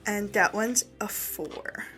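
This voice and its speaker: A woman's voice: medium pitch, slow pace, sharp tone, soft background music, low-quality recording. A woman chatting casually and playfully as a player in a tabletop roleplaying game.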